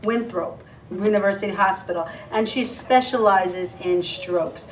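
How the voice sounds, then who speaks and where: A woman's voice: mid-range voice, recorded from a distance, slight background noise, poor audio. A woman hosting a live web talk show, speaking effusively with frequent halts and restarts. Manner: effusive, halting, restarts often. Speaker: host.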